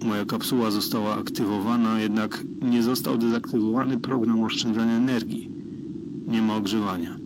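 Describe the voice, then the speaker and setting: A man's voice: on the low side, steady tone, moderate pace, noisy background, natural voice. A man playing a worried spaceship crewman methodically and formally recording a solitary audio log in a radio drama. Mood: matter-of-fact. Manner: methodical, formal, dryly reporting.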